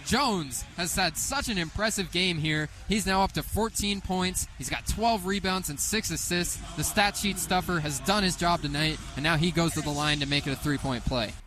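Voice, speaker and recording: A man in his twenties calling basketball play-by-play live on the radio in an excitable manner. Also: sits high, normal speed, few pauses. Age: 20s. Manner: excitable, rising sharply on big plays. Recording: live sports radio, faint background noise.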